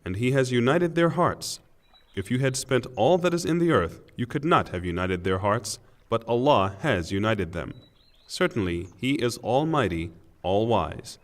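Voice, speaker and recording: A man reading a scripture translation from a script in a measured, formal and solemn way. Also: medium pitch, expressive. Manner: measured, formal delivery in short phrases. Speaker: reader of English scripture translation.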